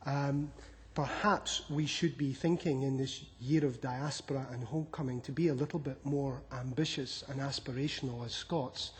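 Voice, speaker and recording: A man rises from the audience to ask a question, speaking in a formal, measured way. His voice sits at an ordinary pitch and rings through a large, reverberant hall. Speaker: audience member. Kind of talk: question from the floor.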